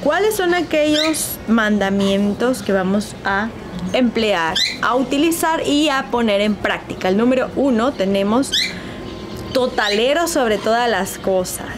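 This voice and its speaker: Animated woman coach on a noisy balcony. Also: on the high side, unhurried. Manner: lively, uses rhetorical questions and formal "usted" address. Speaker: business coach. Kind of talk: informal financial advice talk to camera. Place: outdoor balcony overlooking hills.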